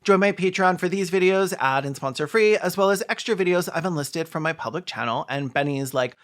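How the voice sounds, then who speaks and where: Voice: medium pitch, brisk, fairly bright tone. A video host giving sarcastic, foul-mouthed comedic commentary in a snarky, mocking manner.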